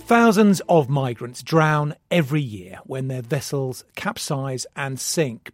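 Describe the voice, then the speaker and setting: A man's voice: fairly high pitch, dry recording, studio quality. A man presenting a news podcast, reading scripted headlines and introductions.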